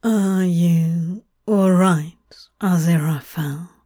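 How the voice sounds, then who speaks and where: A woman's voice: fairly high pitch, very animated, takes it slowly, a little mellow. A woman voicing a sardonic male demon in a story, blustering, exasperated and reassuring by turns. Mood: gentle concern.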